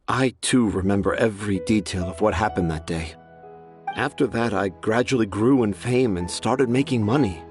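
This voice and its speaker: A man's voice: lowish voice, moderate pace, lightly acted. Man acting a humble, remorseful father. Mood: solemn, regretful. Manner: earnest, apologetic. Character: remorseful, self-deprecating father, a humble storyteller. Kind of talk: video game voice acting. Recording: loud music behind the voice.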